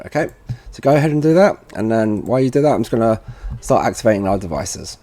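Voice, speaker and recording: A man giving an informal software tutorial, thinking aloud as he works at a computer. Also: medium pitch. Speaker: tutorial presenter for a security products seller. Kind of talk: casual software walkthrough narration.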